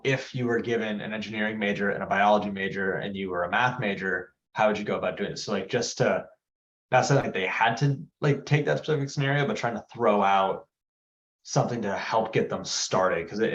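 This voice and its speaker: Low-voiced man explaining casually, lo-fi audio. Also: steady tone, fairly quick. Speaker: interviewer in training meeting. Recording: dull lo-fi meeting audio.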